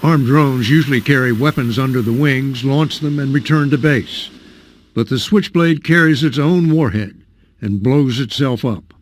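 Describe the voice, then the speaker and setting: Male news correspondent, mid-pitch, crisp probing delivery. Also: normal speed. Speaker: television correspondent. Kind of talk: news report narration and interview questions.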